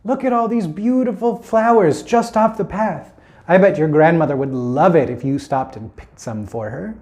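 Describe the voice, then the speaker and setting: A man's voice: a little high, moderate pace, a little mellow, close to an everyday voice. A man voicing a hungry, sly, scheming wolf in a children's story, talking in a coaxing way.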